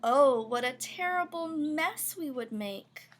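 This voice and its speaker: A high-voiced woman reads a children's picture book in rhyme. She takes it slowly, with big swoops in pitch and playful, expressive emphasis on the sound words. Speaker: storybook reader. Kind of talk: children's picture book read-aloud, rhyming verse.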